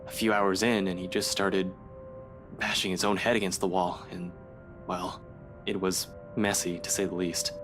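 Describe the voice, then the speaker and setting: A man's voice: deep voice, little pitch movement, moderate pace, close to an everyday voice. A man playing a matter-of-fact police officer in a visual novel game. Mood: grim, matter-of-fact. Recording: music playing behind.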